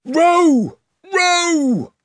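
A man's voice: sits high, animated, a little slow, dry recording, studio quality, voice pushed up. A man voicing a young male king who commands his ship's crew urgently.

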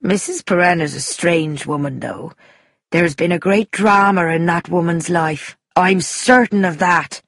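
A woman's voice: fairly low pitch, a little slow, slightly rough, no echo, studio quality. A woman voicing a gossipy, confident Irish woman in an audiobook, chatty and emphatic. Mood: confiding. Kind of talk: audiobook character dialogue.

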